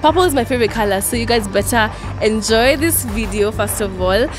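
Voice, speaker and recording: A young woman with a Kenyan accent giving a casual, friendly shout-out into a handheld mic. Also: a little high, brisk. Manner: casual friendly greeting to camera. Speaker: guest giving a channel shout-out. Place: indoor event backdrop. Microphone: handheld branded mic. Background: music.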